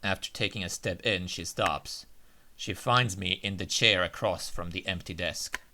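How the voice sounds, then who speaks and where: A man's voice: low-pitched, steady tone, normal speed, sharp tone, a little hiss or hum. A man hosting a let's play, chatting casually and reading the game's text aloud. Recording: music behind the voice. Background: game's guitar music.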